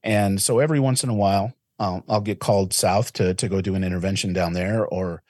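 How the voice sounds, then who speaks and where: A man in his forties with a very low, husky voice. He speaks earnestly and reflectively, often circling back and restarting his sentences. The sound is dry and close, with no room around him. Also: steady tone, fairly quick, a little mellow, dry recording. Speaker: interventionist guest. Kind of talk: casual interview talk.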